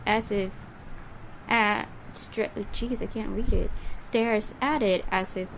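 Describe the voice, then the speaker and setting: A woman streamer reads a game's story aloud and thinks out loud about it in a mid-range voice. A steady hiss of noise runs underneath the recording. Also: medium pitch, slow, vocal fry, poor audio. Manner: thinking aloud, puzzling over the plot. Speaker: game streamer. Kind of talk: Let's Play commentary and reading aloud.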